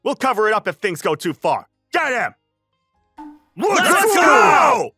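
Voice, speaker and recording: A man's voice: extremely high pitch, wildly swooping pitch, measured pace, pushed and bright, breathy edge, no echo, soft background music, heavily acted. A man voicing a spoiled, hot-headed elite schoolboy bully in an anime-style comic dub, haughty, indignant and whiny. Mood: furious commanding.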